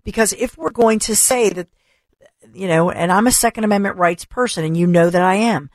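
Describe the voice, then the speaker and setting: A talk radio host, a woman, delivering an opinionated rant full of rhetorical questions and repetition, her voice going hoarse. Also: medium pitch, brisk, no echo. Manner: emphatic, rhetorical questions, repeats for stress. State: losing her voice. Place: radio studio. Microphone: studio microphone.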